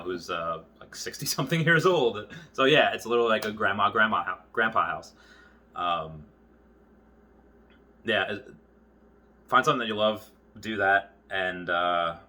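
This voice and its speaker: A man in his early thirties talking casually and off the cuff to viewers, rambling and often halting to circle back on his thoughts. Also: on the low side, sharp tone. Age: early 30s. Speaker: YouTuber. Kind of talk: casual unscripted monologue to viewers.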